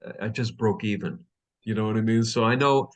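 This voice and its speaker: A man's voice: on the low side, brisk. Rambling middle-aged male host. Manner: rambling, many fillers. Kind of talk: interview host chat.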